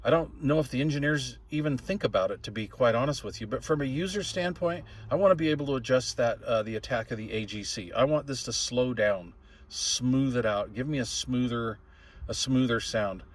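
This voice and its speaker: An older man talks in a medium-pitched voice, casually reviewing equipment as a hobbyist. His delivery is folksy and plain-spoken. He rambles from point to point like someone chatting to regular viewers. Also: fairly quick. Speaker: radio hobbyist reviewer and video host. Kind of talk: casual product review vlog.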